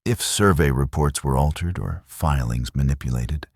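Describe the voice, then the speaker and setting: A man narrating a western romance audiobook in a measured, dramatic way. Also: rumbling low, darkish tone, dry recording, clean studio sound. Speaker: storyteller.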